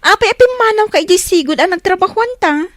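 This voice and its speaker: A woman's voice: very high voice, fast, no room sound, clean studio sound. An actress playing a curious, chatty female friend in an Ilocano radio drama.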